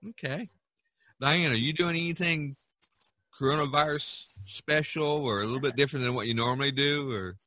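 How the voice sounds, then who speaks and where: A man hosting a casual podcast chat, talking in a halting way with many you knows. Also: mid-range voice, bright, forceful tone, dry recording. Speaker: podcast host.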